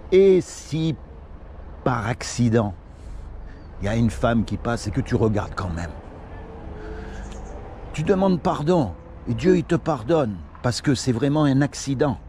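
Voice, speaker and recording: Casual street preacher guy from morocco, warm low-key voice, keeps pleading earnestly, traffic going by. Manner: earnest and pleading, uses many everyday examples. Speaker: street evangelist. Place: outdoors on a city street.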